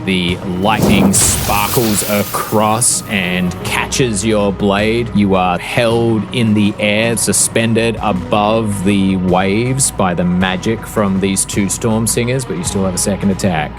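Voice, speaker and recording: A man working as a game master, narrating a tabletop fantasy game in a measured, deliberate, descriptive storytelling manner. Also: fairly low pitch, fairly even intonation. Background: background music.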